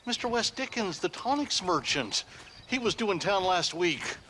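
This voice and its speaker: An actor playing an eager, earnest Western deputy in a game cutscene, with a folksy, halting American Southern delivery. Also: male voice, sits high, big pitch swings, pushed and bright, full character voice. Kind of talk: video game cutscene acting. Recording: clear background noise.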